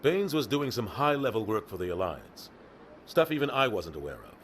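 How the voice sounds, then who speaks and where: An actor playing a world-weary military officer in a video game, matter-of-fact and clipped. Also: male voice, on the low side, very animated, moderate pace, slight background noise. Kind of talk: video game character acting.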